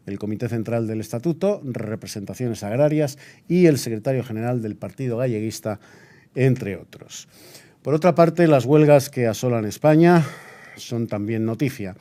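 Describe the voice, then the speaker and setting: A man's voice: medium pitch, warm tone, lightly acted. A man playing a solemn, indignant Spanish radio newsreader of 1936, reading a formal, declamatory bulletin. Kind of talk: scripted period radio news bulletin.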